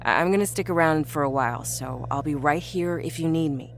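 A woman reading as a weary nurse, low and soft-spoken. Her talk is steady and reassuring but catches on small hesitations, with faint music under the reading. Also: brisk.

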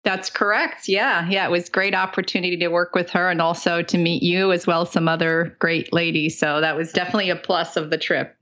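A woman's voice: lowish voice, little pitch movement, a little fast, hardly any pauses, a bit bright. A middle-aged woman from New Jersey chatting as an interview guest in a friendly, talkative way, with slightly muffled sound. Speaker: podcast guest, author and retired military lawyer. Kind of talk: casual interview conversation.

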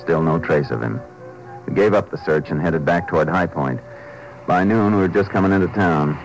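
A man playing a steady, matter-of-fact Texas Ranger in a 1950s western radio drama. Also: low voice, moderate pace, low-quality recording. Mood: measured narration. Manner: clipped, matter-of-fact questioning. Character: steady, terse Texas Ranger lawman, narrating his own cases. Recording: old 1950s radio recording with music.

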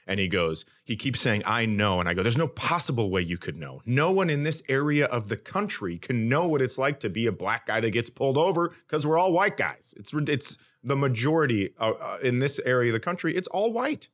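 A man's voice: mid-range voice, big pitch swings, a little fast, hardly any pauses, a bit bright. A man in his forties, a comedian and podcast host, telling stories casually and acting out the dialogue with mock bravado. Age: 40s. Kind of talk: casual comic storytelling.

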